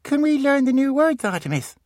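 A smug high-voiced little boy. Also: big pitch swings, fairly quick, a little mellow, dry recording, studio quality. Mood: smug child. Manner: smug, precocious. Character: smug little boy scientist, toddler twin.

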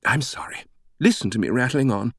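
A man's voice: mid-range voice, a little fast, fairly bright tone, dry recording, clean studio sound, pitched above the performer's own voice. A man voicing an exuberant, chatty middle-aged British woman with a sing-song, gushing delivery in an audiobook. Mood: playful, chatty.

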